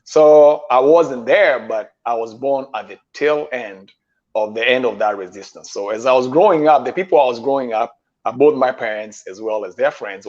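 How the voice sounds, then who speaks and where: A man in his fifties from Kenya, telling his story as a guest chef in a measured, halting way, pausing often on "uh", speaking over a remote call. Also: medium pitch, darkish tone. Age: 50s. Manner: measured, halting, with frequent "uh" pauses. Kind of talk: storytelling in a stream interview. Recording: dull lo-fi stream audio.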